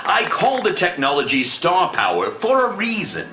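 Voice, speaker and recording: A man's voice: sits high, wildly swooping pitch, normal speed, roomy sound, very noisy. A man playing a showy, grandiose inventor giving a theatrical stage speech in an audio drama. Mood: grand, triumphant. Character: showy male inventor, grandiose, basking in applause.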